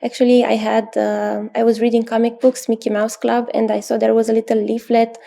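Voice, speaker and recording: A woman in her thirties from Romania with a Romanian accent, an astrologer answering interview questions thoughtfully, with pauses and fillers. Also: a little high, little pitch movement, gravelly. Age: 30s. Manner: thoughtful, many pauses and fillers, soft laughs. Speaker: astrologer guest.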